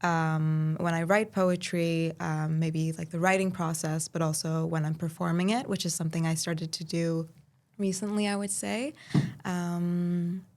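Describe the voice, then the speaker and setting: A woman from Turkey and Cyprus, raised in Saudi Arabia, speaking as a poet guest in a relaxed interview and pausing often to think. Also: lowish voice. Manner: thoughtful, pauses often with "um". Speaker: podcast guest, spoken word poet. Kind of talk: casual conversational interview.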